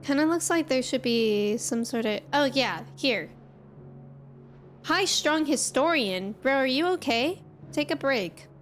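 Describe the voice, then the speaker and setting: A young woman streaming a game, chatting casually and excitedly with lots of "like"s. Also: high voice, measured pace, slight background noise. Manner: chatty, excitable, says "like" a lot. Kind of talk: casual gameplay commentary. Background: game music.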